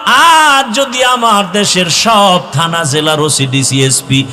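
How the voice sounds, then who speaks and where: High, forceful Bangladeshi male preacher, heavy reverb. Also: animated. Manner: impassioned, chanting, drawn-out exhortations. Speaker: Islamic preacher. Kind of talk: waz sermon to young people. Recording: long hall reverb with music behind.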